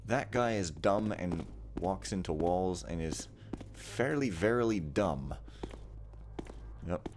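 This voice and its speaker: A man's voice: low voice, lively intonation, takes it slowly, pauses often, background noise. A young man giving casual, wry, rambling commentary while playing a game. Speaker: let's player.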